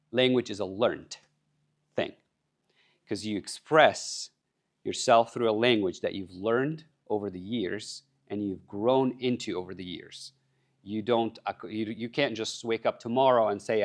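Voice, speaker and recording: Guy giving a conference talk, lowish voice, earnest, keeps asking the crowd stuff. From Egypt. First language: Arabic. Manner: earnest, addresses the crowd with questions. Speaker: conference speaker. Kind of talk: motivational talk to a live audience. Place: conference stage.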